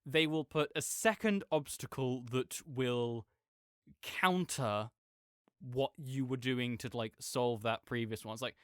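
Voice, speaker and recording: A man playing an opinionated gamer roommate in an audio roleplay, ranting casually and haltingly, often correcting himself with apologetic asides. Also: medium pitch, clean tone, dry recording, clean studio sound, natural voice. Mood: rambling, opinionated. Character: opinionated gamer roommate ranting good-naturedly about games. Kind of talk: rambling casual rant, sleep-aid audio roleplay.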